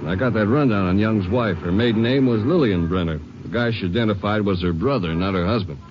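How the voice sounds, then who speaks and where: An easygoing ranger sidekick, played by a man in a 1950s radio western. His voice is low and his delivery casual. The recording is dull, with clear background noise. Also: fairly quick, natural voice. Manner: casual, conversational. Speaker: ranger's partner. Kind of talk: 1950s radio drama acting. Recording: muffled, noisy old radio broadcast.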